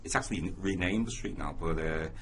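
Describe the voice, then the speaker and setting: A man's voice: sits low, a little fast. A man, interviewing conversationally on radio. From Liverpool. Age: 50s. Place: small community radio studio. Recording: clear background noise, room ambience.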